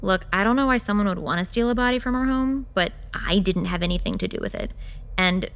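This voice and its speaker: A woman's voice: medium pitch, fairly quick, fairly bright tone, close to an everyday voice. An actress playing a dry, sarcastic young woman mortician who sees monsters, in a horror audio drama. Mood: guarded, deadpan. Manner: dry, sarcastic, blunt, swearing. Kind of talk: audio drama acting with confiding asides.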